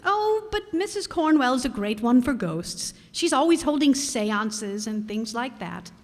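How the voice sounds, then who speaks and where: A chatty, matter-of-fact sitcom housewife played by a woman in an old radio comedy. Her voice is high and very lively in melody, ringing in a reverberant hall. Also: measured pace. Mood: chatty, unbothered. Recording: reverberant hall stage recording.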